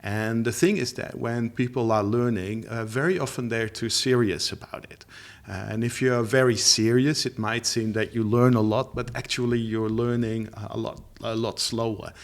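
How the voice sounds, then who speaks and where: A man's voice: medium pitch, steady tone, moderate pace. A middle-aged man from the Netherlands with a Dutch accent, a language teacher telling his story in an interview, chatty with frequent laughs and fillers. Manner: laughs often, many fillers and restarts, chatty. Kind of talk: interview guest, casual storytelling.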